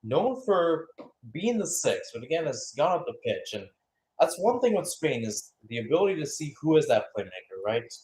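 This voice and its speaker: A man's voice: fairly high pitch, sing-song, moderate pace, a little mellow. A man giving live radio-style football play-by-play, mixing calls of the action with conversational, musing analysis. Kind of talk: live radio sports commentary.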